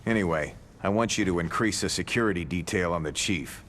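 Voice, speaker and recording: An actor playing a brusque, businesslike base guard giving orders in a video game. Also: male voice, deep voice, brisk, fairly bright tone, slight background noise. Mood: tense, wary. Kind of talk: dramatic video game voice acting.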